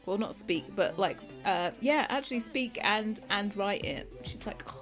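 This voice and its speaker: A woman's voice: medium pitch, animated, moderate pace, music in the background. Chatty British woman streamer. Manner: rambling, chatty, laughs often, loses her train of thought. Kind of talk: casual chat with livestream viewers.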